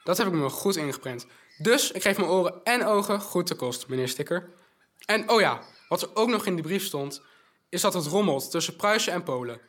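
An actor playing a bright, polite young orphan messenger boy who eagerly passes on news in an audio drama. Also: sits high, expressive, a little fast. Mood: earnest. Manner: polite, informative, recites news in detail.

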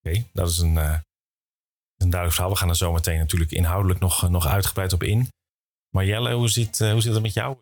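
A man's voice: low voice, fairly even intonation, quick pace, darkish tone. A man hosting a podcast in a friendly, informal, conversational way. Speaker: podcast host.

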